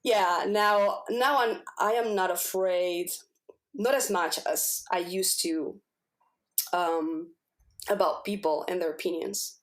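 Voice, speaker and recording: A woman's voice: on the low side, takes it slowly. A woman whose first language is Spanish, a podcast guest giving a personal testimonial, earnest and often searching for words as she repeats herself. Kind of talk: personal testimonial in casual conversation.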